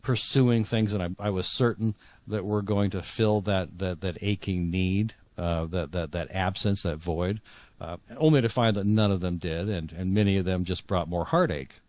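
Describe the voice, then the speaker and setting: A man's voice: deep voice, a little mellow, no room sound. A middle-aged man being interviewed as an author, telling his personal story reflectively, often repeating words as he feels his way forward. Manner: halting, repeats words for emphasis.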